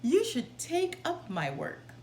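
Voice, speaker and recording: A woman voicing a friendly, persuasive, flattering tradesman in a storybook read-aloud. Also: high voice, takes it slowly, pitched above the performer's own voice, full character voice. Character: friendly, persuasive male knife grinder trading shrewdly. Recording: roomy, with clear background noise.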